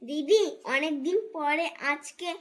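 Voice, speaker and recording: Cartoon casting: hungry, ailing village boy, played by a woman, high pitch, childlike pleading delivery. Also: unhurried. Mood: pleading, eager. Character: sick, hungry little village boy, younger brother. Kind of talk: Bengali cartoon folk-tale dubbing.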